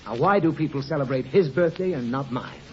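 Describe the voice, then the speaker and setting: A man's voice: mid-range voice, fairly quick, a little mellow, natural voice. An actor playing a long-suffering father, pedantic and flustered, in old radio comedy. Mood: patient, self-pitying. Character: exasperated, long-suffering father explaining things to his daughter. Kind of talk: 1940s radio comedy acting. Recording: muffled noisy old radio recording, studio audience.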